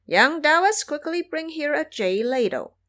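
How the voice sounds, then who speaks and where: Brash male monkey immortal played by a woman, high-pitched, bossy and boastful, for fantasy audio drama. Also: very animated, unhurried, a bit bright, heavily acted. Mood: commanding.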